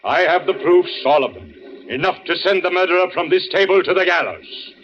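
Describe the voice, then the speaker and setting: An actor playing a theatrical, grieving old stage actor in 1940s radio style, declamatory, with dramatic pauses. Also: male voice, high-pitched. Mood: menacing, accusing. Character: theatrical grieving old actor father, half-mad, accusing. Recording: muffled, noisy old radio recording.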